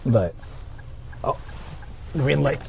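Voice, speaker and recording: A man's voice: medium pitch, animated, extremely slow, vocal fry. A man livestreaming from a moving car, chatting casually in a rambling, repetitive way. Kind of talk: casual livestream chat while driving. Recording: loud car and road noise. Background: vehicle driving noise.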